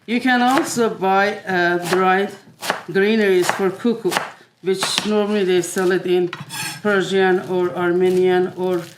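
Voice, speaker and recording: A woman from Iran demonstrating a family recipe in her kitchen, explaining each step with frequent pauses and filler sounds. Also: on the low side, fairly even intonation, background noise. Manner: unhurried, many filler "uh"s. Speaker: home cook. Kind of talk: cooking demonstration. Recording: echoey room.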